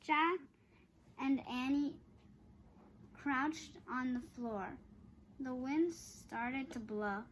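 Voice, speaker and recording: Female storybook reader, high pitch, halting delivery, audible background noise. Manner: halting, stumbles over long words. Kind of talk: read-aloud of a children's chapter book.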